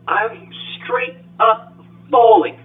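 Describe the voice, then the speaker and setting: A man voicing a brash, wisecracking robot gunslinger who tells his tale as a theatrical, excitable audiobook monologue. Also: very high-pitched, extremely slow, distant mic, phone-quality sound, full character voice. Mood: excited, frantic. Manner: theatrical, excitable storytelling with shouted sound effects. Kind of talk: dramatic first-person audiobook monologue. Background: music.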